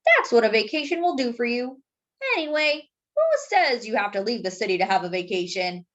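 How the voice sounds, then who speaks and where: Storybook grandpa, played by a woman: old, cheerful, assured, emphatic, pitched high with big swoops. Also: very animated, a little fast, a bit bright, lo-fi sound. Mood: breezy, confident. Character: cheerful, confident old grandfather reassuring children. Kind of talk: read-aloud picture book character.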